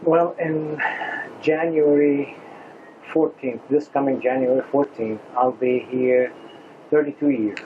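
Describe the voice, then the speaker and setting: A man, a restaurant owner answering interview questions in a halting way, with many pauses and restarts. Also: medium pitch, fairly even intonation, a little hiss or hum. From Lebanon. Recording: slightly dull lo-fi recording.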